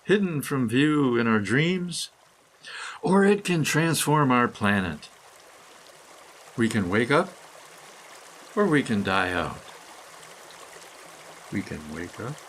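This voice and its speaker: A man reading a scripted, poetic guided meditation in measured, deliberate phrases with pauses. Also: medium pitch, fairly bright tone, slight background noise. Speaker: guided meditation reader, author.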